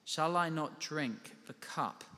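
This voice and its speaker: A man reading a scripture passage aloud in a slow, measured way. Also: a little high, sharp tone, big-room sound. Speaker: scripture reader.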